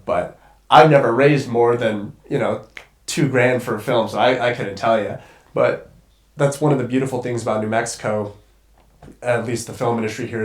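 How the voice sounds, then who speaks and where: A young man with a congested voice talks casually as a guest, rambling, restarting his sentences and swearing freely. The sound carries a roomy echo around him. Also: mid-range voice. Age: young adult. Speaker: guest cinematographer. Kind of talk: casual podcast conversation.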